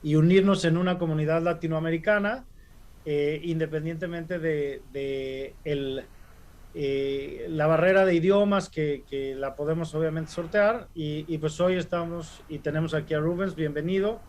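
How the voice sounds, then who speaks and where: A man's voice: on the high side, moderate pace. Man hosting a webinar. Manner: relaxed, many "eh" fillers. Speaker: webinar host.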